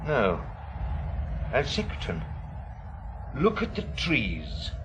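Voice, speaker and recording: An actor playing a sceptical, uneasy gentleman in an audio drama, with a husky voice and a halting, intense delivery. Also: medium pitch, huge pitch swings, background noise. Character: sceptical, uneasy male gentleman named Lewis.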